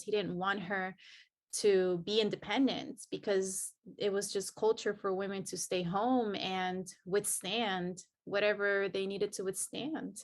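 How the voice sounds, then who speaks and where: A woman's voice: medium pitch, fairly bright tone. A woman from El Salvador, a podcast guest, earnestly and reflectively telling her personal story of healing. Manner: earnest and reflective, many restarts.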